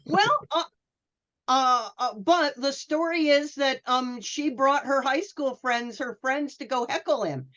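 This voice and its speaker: Halting woman on a muffled recording. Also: sits high, a bit bright. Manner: hesitant, halting delivery. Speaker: panelist. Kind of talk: casual history discussion. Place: remote call for a virtual convention. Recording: dull lo-fi sound.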